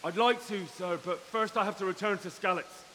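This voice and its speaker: A man's voice: high voice, fairly quick, sharp tone. A young man playing a stubborn, grieving medieval village lad in a video game cutscene. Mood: pleading, determined. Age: young adult. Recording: long reverb, faint hiss, distant.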